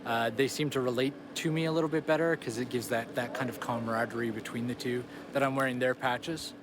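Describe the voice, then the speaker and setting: A man, a soldier, speaking casually and haltingly in an interview. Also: medium pitch, fairly even intonation, noisy background. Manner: halting, many fillers.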